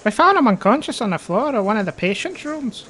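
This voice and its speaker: A woman's voice: on the high side, sing-song, brisk, a bit bright, slight background noise. A woman playing a nervous, obedient young underling in an audio drama.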